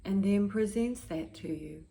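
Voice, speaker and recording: A woman leading a guided meditation in a calm, gentle, soothing manner, pausing between short phrases and letting their endings turn soft and breathy. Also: medium pitch.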